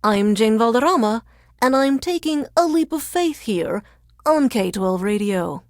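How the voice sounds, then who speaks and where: A woman playing a sardonic female radio DJ in a video game, introducing songs in a deadpan, measured, formal announcer's delivery. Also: high-pitched, no echo, clean studio sound. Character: dry, sardonic female radio DJ under alien occupation.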